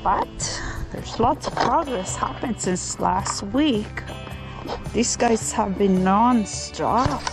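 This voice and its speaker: A woman filming a casual vlog outdoors at a building site. She is chatty and teasing, talking at an unhurried pace, with music clearly playing behind her. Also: medium pitch, low-quality recording. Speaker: vlogger. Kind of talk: casual vlog narration and chat. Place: outdoor building site under a raised house. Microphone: handheld camera, held by the speaker.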